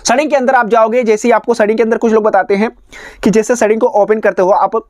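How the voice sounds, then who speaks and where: A man's voice: high voice, steady tone, fast, no room sound, clean studio sound. A man narrating a step-by-step phone tutorial in a repetitive, instructional way. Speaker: tech tutorial host.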